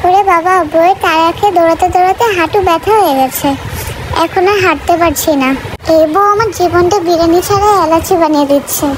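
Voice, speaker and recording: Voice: extremely high pitch, steady tone, fast. A performer voicing a henpecked, timid male peasant creature in a comic cartoon, whiny and exclamatory. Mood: fearful, exasperated. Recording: noisy cartoon soundtrack.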